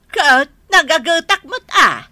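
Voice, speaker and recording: A woman playing a nosy, sharp-tongued middle-aged village mother in a radio drama, prying and exclamatory. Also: sits high, big pitch swings, slow, exaggerated voice. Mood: nosy, surprised. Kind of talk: radio drama acting in rural family comedy.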